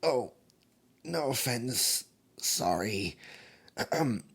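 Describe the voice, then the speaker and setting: Man voicing a male demon, nervous, gossipy, hushed and stammering, mid pitch, ASMR audio drama. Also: lively intonation, extremely slow, gravelly, slightly rough, full character voice. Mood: conspiratorial.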